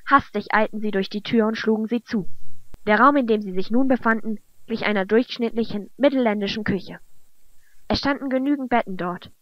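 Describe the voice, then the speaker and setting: A woman's voice: on the high side, a little fast, dry recording, lo-fi sound. A woman reading scripted fantasy narration as the storyteller of an audio drama. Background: clear music.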